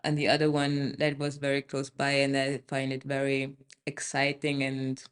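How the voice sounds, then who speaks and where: A woman's voice: extremely low pitch, completely flat delivery, normal speed. A woman from Austria, a podcast guest, talking in a thoughtful way with many ums as she searches for words. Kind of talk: casual interview conversation.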